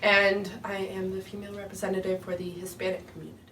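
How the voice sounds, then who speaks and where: A woman's voice: medium pitch, little pitch movement, fast talker, sharp tone. A chatty teenage girl from the United States speaking casually on a student panel. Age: teens. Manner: chatty, many likes. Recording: distant mic, faint noise.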